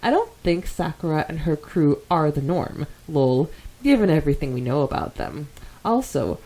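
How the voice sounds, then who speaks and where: A woman's voice: sits low, very animated, normal speed, mellow, low-quality recording. A woman co-hosting a podcast in a casual, giggly, slangy way, chatting and reading out fan comments. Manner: giggly, girlish slang with frequent "like". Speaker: podcast co-host.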